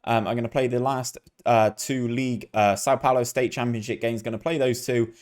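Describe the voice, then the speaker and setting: A man's voice: medium pitch, steady tone, a little fast. Man doing casual gaming commentary. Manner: chatty, addresses viewers as guys. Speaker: gaming video host.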